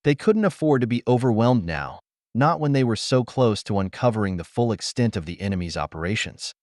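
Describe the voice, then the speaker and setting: A man's voice: on the low side, animated, fairly quick, darkish tone, no room sound, studio quality. A man reading a science fiction adventure story as an audiobook narrator, in a dramatic, earnest manner.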